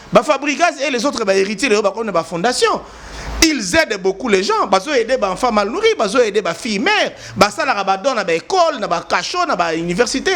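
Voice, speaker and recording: A man's voice: extremely high pitch, fairly bright tone. A man from eastern DR Congo with a Congolese French accent, delivering an impassioned, lecturing opinion address and repeating words for stress. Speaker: commentator.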